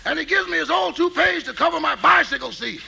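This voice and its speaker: A man playing a cheeky, thrifty working man out shopping, gravelly and wisecracking in old radio comedy style. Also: very high voice, fairly quick, full character voice. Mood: wry, playful. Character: cheeky, thrifty male employee out Christmas shopping. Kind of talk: 1950s radio comedy acting. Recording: old radio broadcast with faint hiss.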